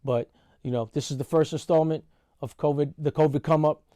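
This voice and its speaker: A man's voice: on the high side, a little fast, no room sound, studio quality. A man, talking casually in a vlog monologue and often saying "y'all". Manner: relaxed, conversational, says "y'all" often. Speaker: podcast host and referee.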